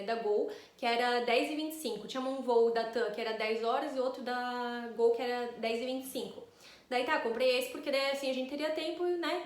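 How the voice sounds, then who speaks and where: A woman's voice: on the high side, reverberant. A Brazilian woman, an astrologer, telling a rambling travel story to camera in a casual, chatty way, with frequent tag questions.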